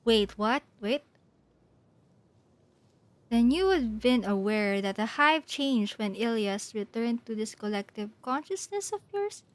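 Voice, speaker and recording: A woman's voice: fairly high pitch, animated, a little slow. A woman game streamer giving casual, reactive live commentary on a story game, prone to giggles.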